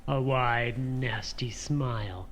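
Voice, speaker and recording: A man's voice: medium pitch, slow, a bit bright, a little hiss or hum. A man voices a smug, theatrical provocateur in a radio play. His mid-range voice needles and taunts, dripping with scorn. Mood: scheming.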